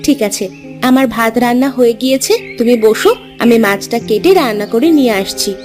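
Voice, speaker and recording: A woman's voice: fairly high pitch, moderate pace, a little mellow, faint music behind, lightly acted. A woman playing a dutiful, homely young village wife in cartoon dialogue.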